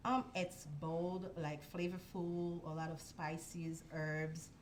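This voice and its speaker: A young woman from Haiti talks through her dishes in a soft, unhurried way, pausing often to find words. Her voice sits a little low, and a faint hiss of room noise runs under the studio recording. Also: level tone. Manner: soft-spoken, explains step by step with many ums. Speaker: guest, food truck owner. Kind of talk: casual interview.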